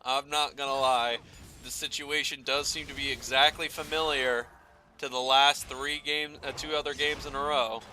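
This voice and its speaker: Male esports caster, playful and dramatic, bright forceful tone, some background noise. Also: mid-range voice, moderate pace. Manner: excitable, playful, dramatic phrasing. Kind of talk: live game commentary with casual banter.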